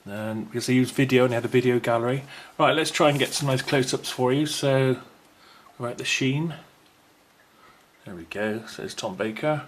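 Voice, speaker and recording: A man's voice: medium pitch, normal speed, a little hiss or hum. A man presenting an unboxing video in a casual, unscripted way, chatty and rambling as he thinks aloud.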